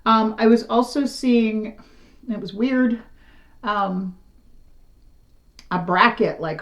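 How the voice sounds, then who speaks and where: Raspy-voiced middle-aged woman reading tarot. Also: medium pitch. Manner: frank, rambling and conversational. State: slightly hoarse after illness. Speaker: tarot reader. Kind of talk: casual tarot reading to camera.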